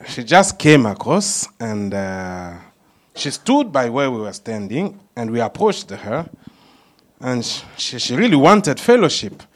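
A man's voice: medium pitch, very animated, measured pace. A man leading part of a church service, speaking informally with frequent "you know" and restarts as he introduces a speaker.

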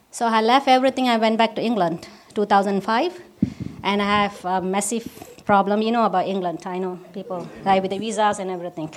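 A woman from Malaysia, giving a personal testimony at a church service in halting English with restarts. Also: mid-range voice. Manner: halting, with restarts and simple non-native grammar.

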